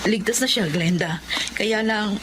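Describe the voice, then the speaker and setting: A woman's voice: mid-range voice, unhurried, noisy background, close to an everyday voice. An actress narrating and playing a humble, devoted wife and mother in a radio drama, speaking earnestly and pleadingly. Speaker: narrator and lead character.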